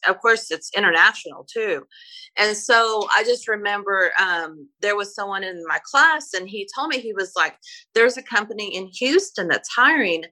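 Bright-voiced Brazilian woman telling stories. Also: mid-range voice, low-quality recording. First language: Portuguese. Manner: hesitant, many fillers and restarts. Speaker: guest, private flight attendant. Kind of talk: casual interview storytelling.

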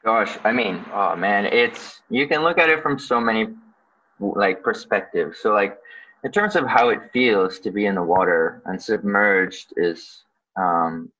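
Bright-voiced man, slightly dull lo-fi recording. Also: lowish voice. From born in Ukraine, grew up in Canada. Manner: informal, halting, thinks aloud. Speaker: guest, underwater photographer and dive professional. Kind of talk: casual conversational interview answers.

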